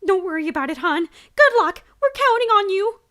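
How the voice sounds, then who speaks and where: A woman's voice: very high voice, sing-song, a little fast, a bit bright, heavily acted. A woman voicing an elderly, motherly mare who runs a bakery, fussing over customers and calling them dear. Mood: resolute. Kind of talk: amateur audio drama acting.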